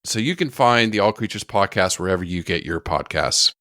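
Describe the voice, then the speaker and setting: A man with a low voice delivers a scripted promo as a science podcast co-host. The recording is tight and dry. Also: lively intonation, fairly quick, sharp tone, no echo.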